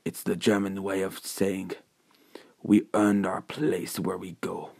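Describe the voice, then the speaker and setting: A man's voice: low voice, flat delivery, slow, vocal fry, darkish tone, dry recording, clean studio sound, exaggerated voice. A man voicing a proud, honor-bound young male warrior in a story, solemn, earnest and subdued.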